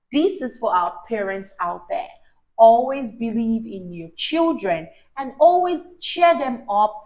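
A young woman with a high voice reads a children's story aloud. She takes her time, speaking expressively like an encouraging teacher, and checks in with questions as she explains the lesson. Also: a little mellow, poor audio. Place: small indoor room on a sofa. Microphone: lapel mic.

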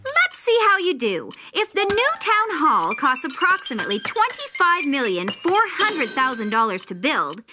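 A woman's voice: high-pitched, huge pitch swings, normal speed, hardly any pauses, noisy background. A woman playing a bossy young witch girl acting as a strict teacher in a cartoon, commanding and theatrical. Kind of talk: animated cartoon dub acting. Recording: loud music under the voice.

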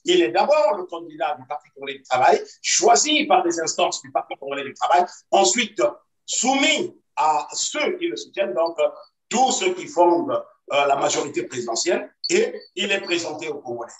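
A man speaks in a measured, insistent way, pausing often on fillers as he argues his side in a video-call debate. His voice sits a little high for a man. It comes through a roomy, echoey line from some way off the mic. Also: big pitch swings. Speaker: party activist guest. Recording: roomy echo, distant from mic.

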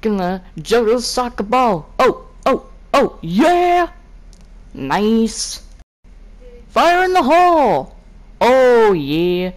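Woman with wildly swinging pitch, slow delivery. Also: very animated. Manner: exclamatory, clipped catchphrases. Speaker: gaming video creator. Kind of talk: playful improvised voice-over of game character animations.

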